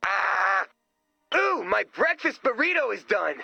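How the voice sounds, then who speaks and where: A man voicing an excitable, naive, nerdy sidekick in a video game, earnest, chatty and goofy. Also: sits high, takes it slowly, vocal fry, slightly rough, no room sound, soft background music, exaggerated voice. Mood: excited. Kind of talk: video game character voice lines.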